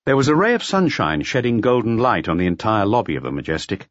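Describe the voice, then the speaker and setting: A man reading a mystery novel as an audiobook narrator in an expressive, scripted style. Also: on the low side, quick pace, dry recording. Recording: slightly dull, lo-fi audio.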